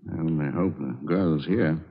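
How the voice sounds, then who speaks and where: An actor playing a calm, authoritative medical officer with a measured, reassuring manner in a 1940s radio drama. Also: male voice, extremely low pitch. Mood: thoughtful. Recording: dull old radio broadcast.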